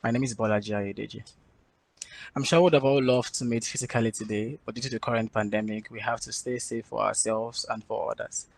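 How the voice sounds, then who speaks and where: A man giving a prepared talk at an online developer conference, speaking in a steady, formal way. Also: mid-range voice, fairly quick, vocal fry, dry recording.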